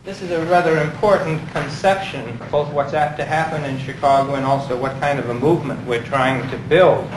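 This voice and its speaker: A man organizing an anti-war movement, explaining his plans deliberately and earnestly. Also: a little high, fairly even intonation, roomy sound, noisy background. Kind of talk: interview and negotiation.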